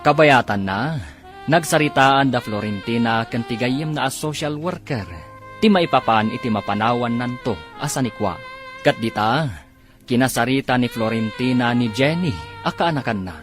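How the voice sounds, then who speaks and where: A man's voice: medium pitch, moderate pace. A man with a Filipino Ilocano accent narrating a scripted radio serial as its announcer. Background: loud music.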